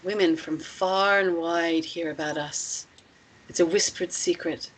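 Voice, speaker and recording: A woman acting a composed, persuasive protector of runaway women in a script read, speaking in a deliberate, measured way. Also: lowish voice, steady tone, low-quality recording. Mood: earnest, persuasive. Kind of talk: script table read, acted dialogue.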